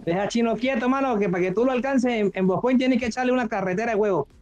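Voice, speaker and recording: A man's voice: very high-pitched, fast talker, darkish tone, faint music behind. A Venezuelan man chatting casually in slang with other players over a lo-fi online game voice chat. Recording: dull lo-fi voice chat.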